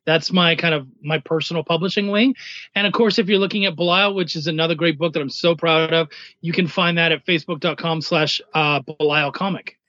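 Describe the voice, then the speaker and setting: A man's voice: a little high, steady tone, fairly quick, sharp tone, dry recording. A man speaking casually as a writer and editor being interviewed on a podcast, in slightly dull, lo-fi sound.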